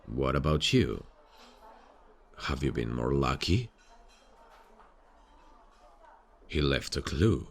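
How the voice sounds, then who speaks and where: A man acts out a haunted detective in an intimate audio roleplay. His voice is extremely low and gravelly, and he takes his time with every phrase. He addresses his partner directly, weary but intent on the hunt, over faint background ambience. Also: very animated, measured pace, a little hiss or hum, heavily acted. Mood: casual, inquiring. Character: weary, haunted male detective hunting a serial killer. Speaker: ASMR roleplay voice actor. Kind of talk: ASMR roleplay, intimate dramatic acting.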